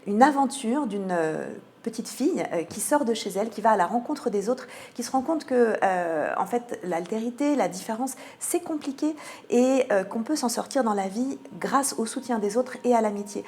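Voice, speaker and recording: A woman answering in an interview as a theatre programming manager, often pausing mid-sentence as she presents a programme. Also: a little high, animated, mellow. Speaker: theatre cultural programming manager, radio guest.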